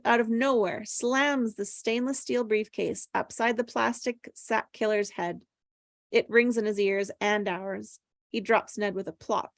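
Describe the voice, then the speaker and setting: A woman narrates screenplay directions for a table read. Her voice sits at an average pitch, and the sound is dry with little room to it. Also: dry recording.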